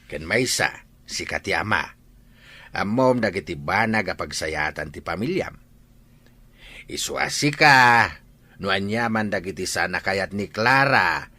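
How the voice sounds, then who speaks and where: A man's voice: low voice, very animated, measured pace, sharp tone, exaggerated voice. A man playing a stern but caring older father giving advice in a radio drama. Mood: stern, advising.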